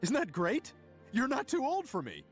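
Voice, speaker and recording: A man's voice: very high-pitched, wildly swooping pitch, moderate pace, faint music behind, full character voice. An actor voicing a wry, hesitant adult male talking dog in a cartoon. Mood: pleading.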